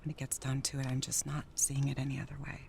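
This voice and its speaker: An actress playing Jerry, a troubled, wistful, newly sober wife in a 1930s radio drama. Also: female voice, very deep voice, monotone, fast, vocal fry, slight background noise. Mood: wistful, pleading.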